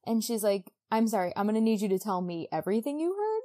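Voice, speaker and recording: A woman's voice: mid-range voice, sing-song, fast talker, mellow. A woman co-hosting a podcast, recapping a book casually and acting out dialogue in informal modern slang. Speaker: podcast co-host.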